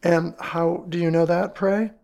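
A woman voicing a whimsical, urbane gentleman detective in a storybook reading, with wry, mannered phrasing. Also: on the low side, steady tone, unhurried, creaky. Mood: intrigued.

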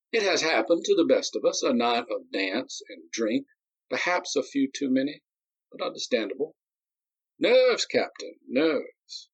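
A man doing a silky, condescending gentleman duelist. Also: medium pitch, husky, dull audio, pitched above the performer's own voice.

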